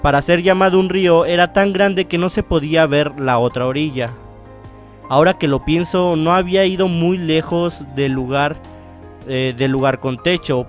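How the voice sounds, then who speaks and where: Higher-voiced man reading over music. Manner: halting read-aloud with stumbles and self-corrections. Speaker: gaming channel host. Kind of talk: casual let's-play talk and reading visual novel text aloud.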